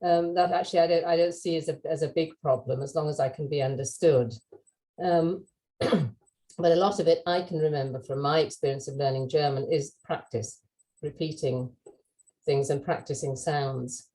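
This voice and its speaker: British woman presenter, low mellow voice, calm and measured, frequent ums. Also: rough recording. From United Kingdom. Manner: measured, many "um"s, conversational. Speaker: webinar speaker, language expert. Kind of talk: answering audience questions.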